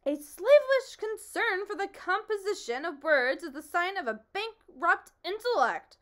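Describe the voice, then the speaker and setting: A woman voicing a boastful, pompous old male humbug bug who blusters loudly. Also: sits high, very animated, a little slow, pitched above the performer's own voice, exaggerated voice. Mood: blustering. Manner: blustering, grandiose, loud.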